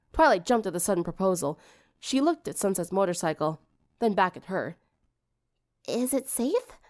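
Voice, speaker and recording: A woman's voice: on the low side, a little mellow. A woman reading scripted fiction aloud as an audiobook narrator.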